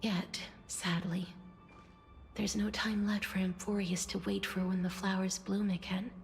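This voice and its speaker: A serene demigoddess of death from a fantasy game. Her voice is soft and breathy at a middle pitch. She speaks in formal, measured, solemn phrases tinged with sorrow, over background music. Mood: sorrowful, resolute. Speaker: video game character. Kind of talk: dramatic scripted game dialogue.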